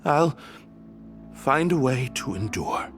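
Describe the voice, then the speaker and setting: Hurt resigned prisoner in a game, slow strained halting words, pitch wobbles around, faint music. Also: male voice, expressive. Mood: pained, resigned. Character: suffering, resigned captive man, enduring. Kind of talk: dramatic video game voice acting.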